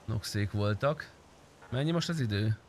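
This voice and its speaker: A man's voice: on the low side, animated, a little hiss or hum. A young man chatting casually while livestreaming a game, blunt and slangy with frequent swearing. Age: young adult. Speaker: game streamer. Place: indoor streaming room.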